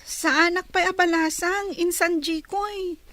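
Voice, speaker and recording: A dutiful teenage girl in a radio drama. The voice is extremely high and youthful, with a plaintive manner. The sound is dry. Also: fairly even intonation, measured pace, sharp tone, no echo, exaggerated voice. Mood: shy, casual. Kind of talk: Filipino radio drama acting.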